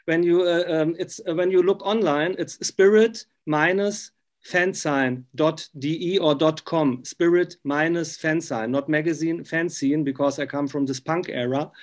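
Male film journalist, middle-aged, German accent, fairly high register, effusive conversational delivery with halting phrasing. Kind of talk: casual interview conversation.

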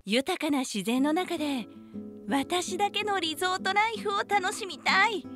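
Female announcer for scripted spots: high, very melodic delivery, music underneath. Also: lively intonation, unhurried. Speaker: radio DJ and voice-over artist. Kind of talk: scripted commercial and narration reading.

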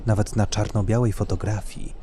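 A man's voice: on the low side, flat delivery, normal speed. A man narrating a scripted dramatic story as its reader.

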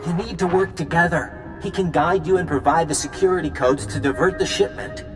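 An actor playing an earnest, practical resistance leader in a video game, firm and persuasive. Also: male voice, fairly high pitch, fairly quick, over music, close to an everyday voice.

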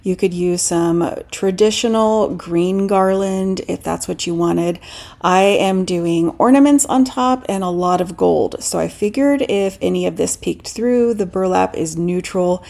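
A woman's voice: fairly low pitch, a little slow, gravelly, darkish tone. A middle-aged woman narrating a do-it-yourself decorating tutorial as a voice-over, explaining each step in a friendly, instructive way. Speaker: DIY craft channel host.

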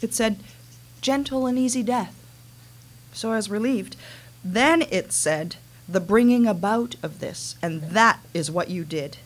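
A woman playing a resentful adult daughter with a halting, earnest delivery in a staged dramatic reading. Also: medium pitch, natural voice. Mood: accusing. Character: wounded, resentful adult daughter confronting her mother. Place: small dimly lit stage venue. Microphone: microphone on a stand.

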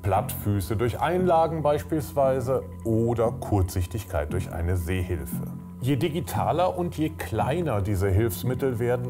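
Male presenter over clearly audible music. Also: medium pitch. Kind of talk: scripted moderation. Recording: prominent music behind.

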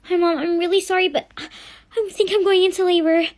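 A woman's voice: very high-pitched, steady tone, fast talker, a little mellow, pitched above the performer's own voice. A woman playing a sulky pregnant teenage girl. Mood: panicked. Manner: snappy and sulky, then panicky. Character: moody pregnant teenage girl, quick-tempered.

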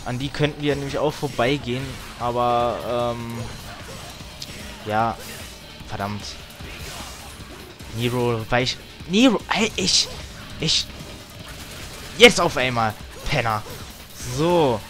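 A man's voice: mid-range voice, animated, slow, pauses often, a bit bright. A man giving casual, grumbling let's play commentary as he games, with some tongue clicking. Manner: grumbling, mild swearing. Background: video game music.

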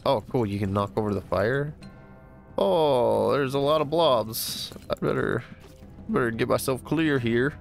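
Male gamer, stuffy nose, low gravelly voice, casual think-aloud commentary over faint game music. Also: a little slow. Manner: thinking aloud, easygoing, upbeat exclamations. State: stuffy, congested voice. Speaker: gamer playing a new game. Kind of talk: casual let's-play commentary.

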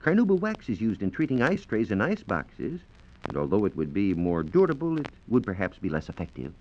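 An American man giving formal, measured scientific explanations as a reporter on a 1940s radio program. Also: on the low side, wildly swooping pitch, darkish tone, a little hiss or hum. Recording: dull, band-limited 1940s radio transcription disc.

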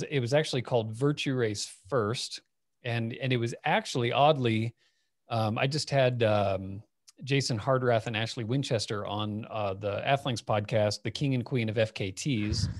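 A man in his late forties, who grew up in Phoenix, talks through a headset from a home office. His voice is mid-pitched and the recording is dry and close. He tells long stories at an easy pace and fills his pauses with "um". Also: no room sound. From Phoenix, Arizona. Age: 40s. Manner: relaxed, rambling, many fillers. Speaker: guest, company founder. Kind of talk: casual storytelling interview. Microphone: headset over video call.

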